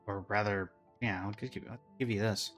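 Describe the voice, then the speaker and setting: A man's voice: on the low side, fairly even intonation, normal speed, a bit bright. A man giving casual, offhand commentary as he plays a game, thinking aloud and reading dialogue. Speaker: gaming reaction streamer. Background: game music.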